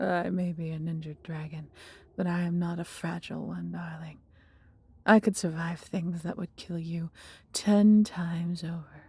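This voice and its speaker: A woman voicing a huge, proud, protective female dragon in a fantasy audio roleplay, with breathy, husky delivery and tender, formal, theatrical phrasing. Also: fairly low pitch, darkish tone, exaggerated voice.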